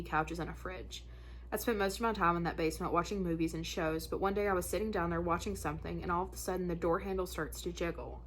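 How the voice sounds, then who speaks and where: Low-pitched woman, casual storyteller, noisy recording. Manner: informal, conversational, slangy asides. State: congested, stuffy nose. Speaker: host of a spooky story channel. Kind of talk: casual chat and reading of listener horror stories.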